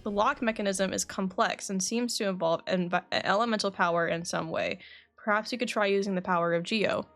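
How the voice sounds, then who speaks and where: A woman's voice: medium pitch, fairly even intonation, a little fast, creaky, a bit bright. A woman streaming a game, reading its dialogue aloud and adding casual commentary, correcting herself as she goes. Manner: self-correcting as she reads. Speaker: game livestreamer.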